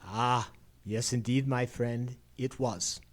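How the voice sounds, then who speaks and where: An actor playing a knowing gentleman detective in an old-time radio play, speaking in formal, measured phrases with dramatic pauses. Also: medium pitch, fairly even intonation, close to an everyday voice. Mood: probing, ominous.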